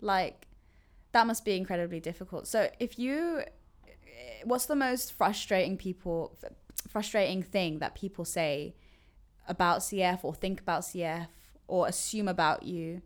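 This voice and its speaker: A woman's voice: on the low side, unhurried. A woman hosting a casual interview chat, encouraging and supportive toward her guest. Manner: warm, encouraging. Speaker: host.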